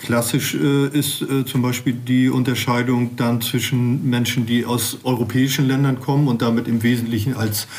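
A man as an expert guest on a radio show, explaining slowly and academically with frequent hesitations. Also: medium pitch, flat delivery, darkish tone. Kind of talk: radio interview answers.

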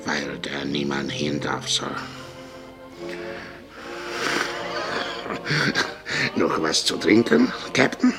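Wary drunk island boatman in a radio play, slow gravelly talk, servile, music behind him. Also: big pitch swings, heavily acted. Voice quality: deep, gravelly. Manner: halting, servile, repeats himself. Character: wary, hard-drinking mixed-race island boatman, obsequious, superstitious. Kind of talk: German radio drama acting.

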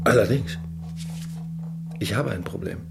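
Curt, domineering garage boss. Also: male voice, on the low side, a little slow, vocal fry, music in the background. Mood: menacing, sarcastic. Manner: curt, threatening, sarcastic. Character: gruff, domineering garage boss, husband with a lover. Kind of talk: radio play acting.

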